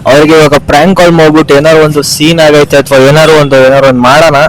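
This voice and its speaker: Fast-talking, higher-pitched man in traffic noise. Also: flat delivery. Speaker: YouTuber host making prank calls. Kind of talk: casual prank-call chat, addressing viewers. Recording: noisy, with vehicle and street sound.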